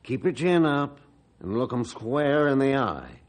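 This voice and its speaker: An actor playing a kindly, fatherly reform school principal in old-time radio style, avuncular and measured. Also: medium pitch, sing-song. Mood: kindly, encouraging.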